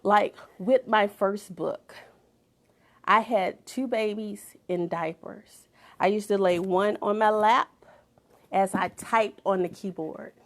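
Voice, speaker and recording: A woman's voice: mid-range voice, slow pace. A middle-aged woman giving an emphatic inspirational talk, repeating phrases for effect and sometimes dropping to a whisper. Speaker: motivational speaker and author.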